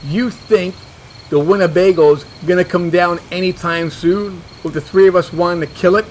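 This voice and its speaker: A man's voice: high-pitched, fairly even intonation, moderate pace, darkish tone, slight background noise, close to an everyday voice, low-quality recording. A man playing a cocky, dismissive and stubborn monster hunter with a sarcastic manner.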